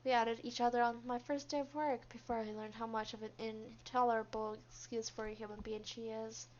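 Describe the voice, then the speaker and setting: A woman voicing a reserved, guarded young female office worker, narrating a visual novel in a subdued, measured way. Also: fairly high pitch, flat delivery, a little hiss or hum, natural voice. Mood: reflective, guarded. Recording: dull, lo-fi microphone.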